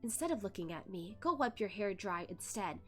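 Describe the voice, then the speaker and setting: A woman's voice: mid-range voice, sing-song, a little fast, fairly bright tone, soft background music. A woman voicing a stern, aloof young male immortal master who speaks in cold, clipped, scolding lines.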